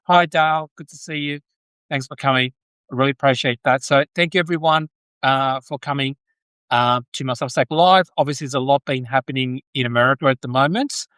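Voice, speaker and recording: An Australian man hosting a casual live political commentary stream, speaking in a halting way and often restating his phrases. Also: mid-range voice, fairly even intonation, fairly bright tone, smooth, no room sound. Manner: halting, repeats and restates phrases, breaks off to greet viewers. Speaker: podcast host, political commentator. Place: Brisbane, Australia.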